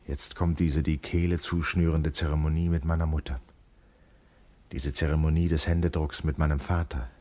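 A very low voice carries this radio drama monologue. It is an introspective schoolboy with a wry eye, who speaks in measured, literary phrases. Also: male voice, little pitch movement, mellow. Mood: solemn, lonely.

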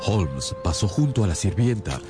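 Un actor que interpreta al leal compañero del detective y narra la historia en una radionovela, con música de fondo. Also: male voice, deep voice, sing-song, normal speed, loud music behind the voice. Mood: measured, storytelling. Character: Holmes's loyal companion, male narrator of detective tales. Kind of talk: dramatised radio storytelling.

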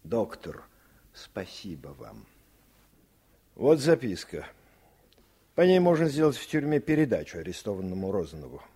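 A man's voice: on the low side, sing-song, moderate pace, long pauses, warm tone, slightly rough, heavily acted. A man playing a cold, formal, clipped police colonel in a Soviet radio play. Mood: subdued, grateful.

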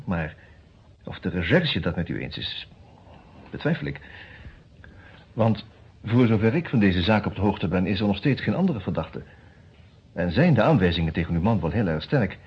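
A man acting a formal, cautious lawyer in a radio detective play, speaking in measured, businesslike phrases with hesitant pauses. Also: sits low, expressive, husky, full character voice. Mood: firm, formal. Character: formal, cautious male defence lawyer who also narrates. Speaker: actor in a radio detective serial. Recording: dull, muffled old radio recording.

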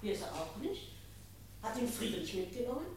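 A woman's voice: on the high side, very animated, normal speed, darkish tone. An actress playing a worried middle-aged mother in a radio drama, anxious and exclamatory. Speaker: actor in a radio play. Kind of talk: German radio drama acting. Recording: very echoey room with background noise.

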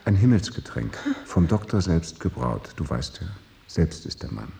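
Dark, gravelly, sinister henchman. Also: low voice, sing-song, normal speed, full character voice. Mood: cool, sinister. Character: sinister male henchman, smooth, indifferent, quietly threatening. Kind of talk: radio drama acting. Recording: echoey room, distant-sounding.

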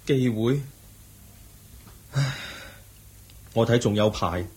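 A man's voice: mid-range voice, slow pace, a little mellow, breathy edge. A man with a Hong Kong Cantonese accent playing a brooding young prince disguised as a cook in a radio drama, earnest and plaintive. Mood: frustrated.

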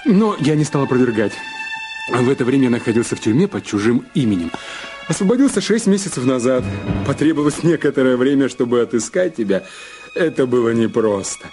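An actor in an audio drama playing a sleazy, mocking blackmailer husband, wheedling and drawling with gloating repetitions. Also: male voice, mid-range voice, sing-song, fairly quick, music in the background, natural voice. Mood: smug.

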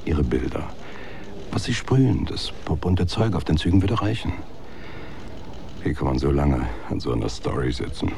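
A man's voice: very deep voice, big pitch swings, normal speed, creaky, pauses often, noisy background, exaggerated voice. An actor playing an impatient, pragmatic male editor in a radio drama, brusque and pressing. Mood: impatient, dismissive.

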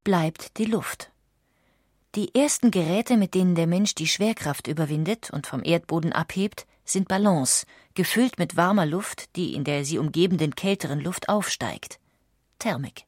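A woman narrating a history audiobook in a calm, even-paced reading style. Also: fairly low pitch, normal speed, dry recording, clean studio sound. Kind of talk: scripted documentary narration.

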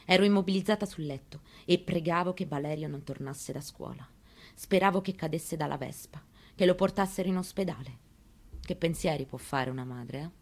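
A woman acts out an elderly mother in mourning, in a slightly low voice. She delivers a theatrical memoir monologue, bitter and defiant, pressing her points with rhetorical questions like someone demanding answers. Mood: grieving, resolute. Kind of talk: dramatic stage reading of a memoir, monologue.

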